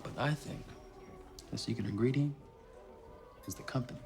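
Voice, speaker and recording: A man's voice: mid-range voice, normal speed, lightly acted. A man playing an amiable, slightly awkward suitor with hesitant delivery in a 1920s period TV drama. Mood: flirtatious, amiable. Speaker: actor in a TV detective series. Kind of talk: naturalistic TV period drama acting. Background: background music.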